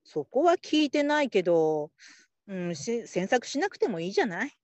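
Woman acting a lively-pitched, patient female supervisor. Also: moderate pace, dry recording, close to an everyday voice. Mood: composed, firm. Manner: calm, encouraging, politely instructive. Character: patient, supportive female call-centre supervisor. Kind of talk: Japanese radio drama acting.

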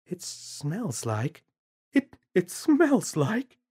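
Guy doing a high fussy mom, prim and disgusted, shrill. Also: wildly swooping pitch, normal speed, a little mellow. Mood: disgusted, shocked. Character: prissy, disgusted housewife mother.

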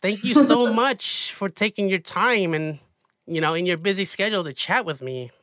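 A man with a fairly high, bright and forceful voice hosts a casual interview. He talks in an upbeat, friendly way, full of fillers, and the sound is close and dry with no room around it. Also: animated, normal speed, no echo. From US Pacific Northwest. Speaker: podcast host.

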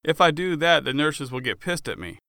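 A man's voice: on the high side, very animated, moderate pace, pushed and bright, dry recording, exaggerated voice. A man voicing a stubborn, wounded gunfighter in an audiobook, talking in a wry, defensive and incredulous way.

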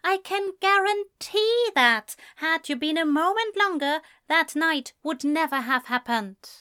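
A woman's voice: high-pitched, animated, a little slow, pushed and bright, voice pushed up, full character voice. A woman voicing an earnest, prim man in an audiobook story, with formal, precise phrasing and a firm, exasperated manner. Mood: firm, angry.

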